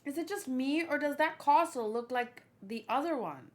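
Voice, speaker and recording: A woman's voice: high voice, lively intonation, moderate pace, pitched above the performer's own voice. A woman reading as a wary, easily startled young manga pirate, full of questions and exclamations. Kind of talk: acted manga read-along.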